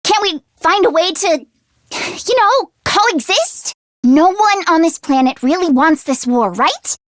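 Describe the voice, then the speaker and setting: Teenage game girl, very high voice, animated, anxious, earnest, dry close recording. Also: takes it slowly, dry recording, full character voice. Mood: sad, pleading. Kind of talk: English video game voice acting, isolated voice lines.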